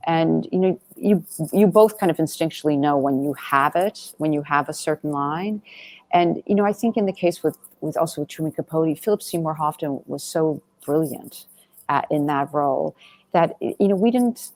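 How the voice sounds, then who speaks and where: A woman's voice: deep voice, normal speed, darkish tone. A woman speaking as a film director in an interview, answering conversationally in a discursive, emphatic way and often rephrasing as she goes.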